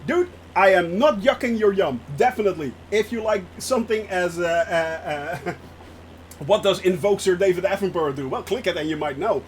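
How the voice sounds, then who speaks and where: A man from the Netherlands with a Dutch accent, chatting casually and excitedly as a streamer while he flies a simulator, laughing often. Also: high-pitched, normal speed, slight background noise.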